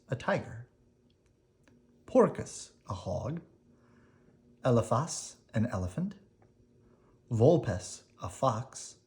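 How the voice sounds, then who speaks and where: A person reading a children's picture book aloud expressively, with dramatic emphasis, pauses and brief explanatory asides. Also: medium pitch, darkish tone. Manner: dramatic emphasis, pausing between phrases.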